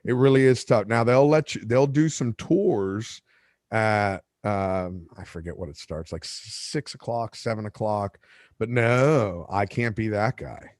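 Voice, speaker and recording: A man's voice: on the low side, a little slow, a little mellow, dry recording, clean studio sound. A man whose first language is Spanish, hosting a podcast and telling a long story in a casual, rambling way with lots of asides and restarts. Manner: rambling, self-correcting, with asides. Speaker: podcast host.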